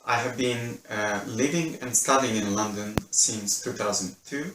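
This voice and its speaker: A man from Serbia, an accordionist, introducing himself in a measured way. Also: lowish voice, noisy background. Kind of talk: self-introduction. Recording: roomy, distant mic.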